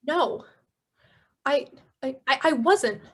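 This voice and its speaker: A grief-stricken, guilt-haunted poet, acted by a woman, speaks in a high voice at a very slow pace. The delivery is halting and theatrical, made for a radio play full of solemn verse and sorrow. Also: animated, fairly bright tone, full character voice. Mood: subdued grief. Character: grieving, guilt-haunted solitary poet and scholar. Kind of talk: stage radio-play acting, verse recitation.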